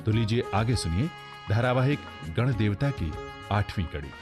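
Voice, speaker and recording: A man's voice: fairly low pitch, expressive, normal speed. A man narrating a radio drama recap in a scripted storytelling style. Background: instrumental music with guitar.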